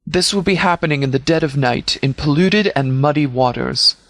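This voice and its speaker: A man playing a stern, composed sheriff in a video game, speaking in a measured, formal, authoritative way. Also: on the high side, fairly bright tone, no room sound. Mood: businesslike briefing. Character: stern, composed male sheriff leading a post-apocalyptic militia. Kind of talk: scripted video game dialogue.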